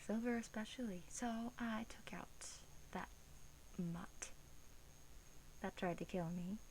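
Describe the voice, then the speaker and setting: A woman acts out an ancient female vampire in an intimate ASMR roleplay. She speaks slowly and softly, drifting into whispers as she muses. She is smug and predatory, yet doting. Faint noise sits behind the voice. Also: medium pitch, natural voice, rough recording. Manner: slow, hushed, slipping into whispers, musing. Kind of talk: soft-spoken ASMR roleplay.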